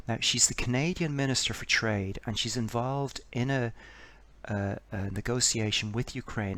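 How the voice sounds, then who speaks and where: A man giving scripted political commentary in a measured, explanatory way, posing a string of rhetorical questions. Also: medium pitch, a bit bright.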